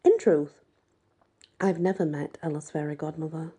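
Very husky, mellow woman reading a story. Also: deep voice, fairly even intonation, normal speed. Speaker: audiobook reader. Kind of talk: first-person scripted story narration.

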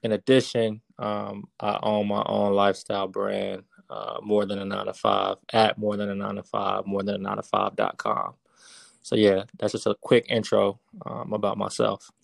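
A man in his thirties speaks low and gravelly, with a flat, even delivery. He answers interview questions casually, full of fillers and restarts. The recording is dry and close. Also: normal speed, dry recording. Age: 30s. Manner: relaxed, many fillers and restarts. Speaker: guest, real estate investor.

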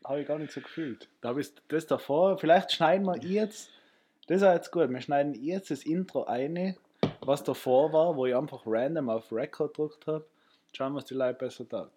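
Ein junger Mann mit österreichischem Akzent, Co-Host eines Podcasts, der locker plaudert, oft lacht und dazwischenredet. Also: male voice, fairly high pitch, normal speed, warm tone, slight background noise. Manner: teasing, laughs often, cuts in. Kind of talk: casual chat.

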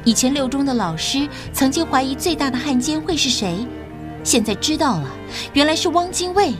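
An actress playing an earnest, polite young schoolteacher in an audio drama, modest and respectful in manner. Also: female voice, high voice, expressive, moderate pace, music in the background. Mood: troubled realization.

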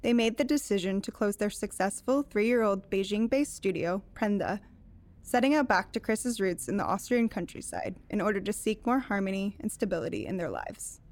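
A woman's voice: mid-range voice, lively intonation, normal speed, a little mellow. A woman reading scripted narration as the host of an interview programme.

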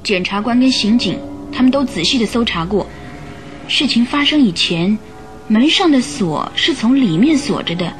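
A woman's voice: on the high side, expressive, moderate pace, faint music behind, natural voice. An actress in a radio drama playing an earnest, troubled young woman who gives careful, emotive accounts. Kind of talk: old Chinese radio drama acting.

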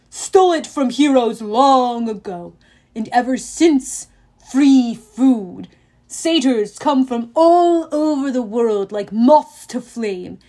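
A woman voicing a huge, proud male Cyclops who speaks in slow, broken, clipped phrases in an audiobook. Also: high-pitched, a little mellow. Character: huge proud one-eyed male Cyclops, boastful and menacing. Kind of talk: audiobook character reading.

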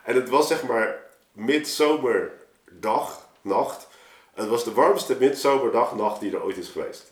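A man telling anecdotes in an informal, animated way, as in a casual podcast chat. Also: medium pitch, measured pace. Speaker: podcast guest, artist telling of a project. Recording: roomy, echoing room sound.

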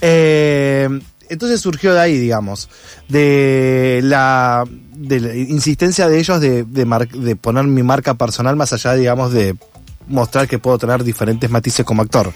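An Argentine man giving conversational interview answers, reflective and halting as he rephrases his thoughts. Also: medium pitch, no echo, faint music behind. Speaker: interviewed actor and content creator.

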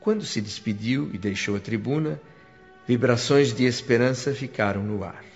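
A reflective narrator, voiced by a man, tells the story in first person with calm, measured phrasing. Faint music plays beneath. The radio drama recording sounds dull and lo-fi. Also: medium pitch, natural voice. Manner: calm, measured, softening to a hush.

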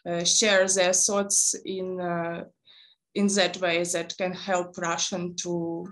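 Ukrainian woman, talks real slow, lots of uh and um, stops mid sentence. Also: fairly low pitch, level tone, a bit bright. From eastern Ukraine. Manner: hesitant, many pauses and fillers. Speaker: guest speaker, Fulbright coordinator. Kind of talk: interview conversation.

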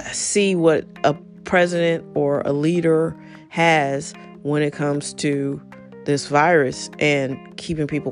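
A woman's voice: deep voice, darkish tone. A middle-aged woman hosting her own show, talking off the cuff with frequent laughs and wandering asides. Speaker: podcast host. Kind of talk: unscripted solo talk.

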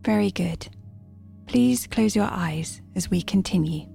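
Clinical machine guide, audio drama, measured and formal, dark gravelly fry, light music behind. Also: female voice, mid-range voice. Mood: gently composed. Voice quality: creaky, soft-toned. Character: calm, clinical artificial guide to stored memories. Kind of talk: restrained audio drama acting.

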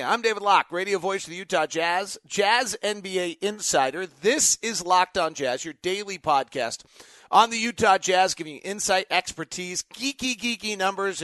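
High-pitched American male sports host, bright forceful tone, emphatic, conversational, live podcast commentary. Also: no room sound. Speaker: radio play-by-play announcer.

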